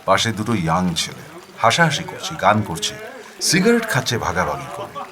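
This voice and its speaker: A man narrating a first-person story in a dramatic, animated and expressive manner. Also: deep voice, moderate pace, music in the background.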